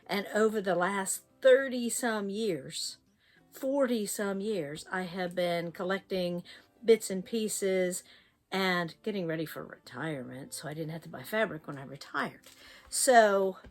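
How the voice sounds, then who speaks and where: A woman's voice: mid-range voice, unhurried, faint music behind. An older woman with a US East Coast accent hosting a quilting tutorial, chatty and encouraging. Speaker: quilting channel host.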